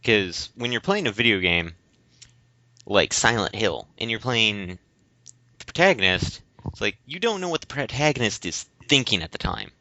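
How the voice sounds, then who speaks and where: A man with a fairly low, bright voice talks casually as a podcast co-host. He feels his way through explanations, halting and rambling. The sound is close and dry but somewhat dull and lo-fi. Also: animated, no echo. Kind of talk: casual discussion. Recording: dull, lo-fi voice recording.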